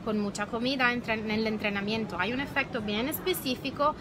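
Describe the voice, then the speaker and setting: A woman in her thirties, a native Italian speaker, talks about dog research in Spanish on a live phone stream, pausing often to search for words. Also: a little high. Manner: searching for words, slow and hesitant. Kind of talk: live video chat. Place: outdoor patio. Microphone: phone at arm's length. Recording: noisy outdoor phone stream.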